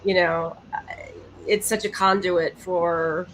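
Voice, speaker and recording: A woman's voice: lowish voice, steady tone, takes it slowly, fairly bright tone, a little hiss or hum, rough recording. A woman in casual panel chat, speaking emphatically and often repeating herself for weight.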